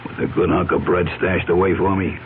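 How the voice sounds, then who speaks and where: An actor playing a sly, menacing older ex-con man who is quietly threatening, in a 1970s radio drama. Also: sits low, level tone, fairly quick, close to an everyday voice. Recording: tinny lo-fi old radio broadcast with faint hiss.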